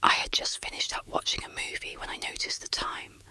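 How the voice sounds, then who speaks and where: An ASMR storyteller of unclear sex narrating a first-person horror story in a breathy, unvoiced whisper, measured and deliberate. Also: pushed and bright.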